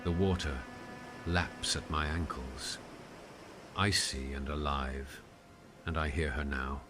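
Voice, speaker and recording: Man acting a very deep, haunted gothic narrator. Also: fairly even intonation, noisy background. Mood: fateful dread. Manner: solemn, ornate, deliberate delivery. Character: haunted, dread-struck man tethered to an unseen sea entity. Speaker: narrator in an audio roleplay. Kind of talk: gothic cosmic horror dramatic monologue.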